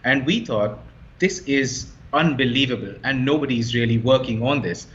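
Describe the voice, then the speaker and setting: A man's voice: mid-range voice, normal speed, a bit bright, slight background noise. Confident man, slightly muffled sound. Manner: fluent, confident, emphatic. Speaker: startup co-founder, guest. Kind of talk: explaining his business in an interview.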